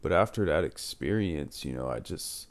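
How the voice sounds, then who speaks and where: A man in his late twenties talks through life advice in a loose, candid way, dropping "man" at the ends of sentences. His voice sits very low and has a gravelly, creaky grain. The delivery is reflective and unscripted. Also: expressive, normal speed. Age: 20s. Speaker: advice channel host. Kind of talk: casual advice monologue.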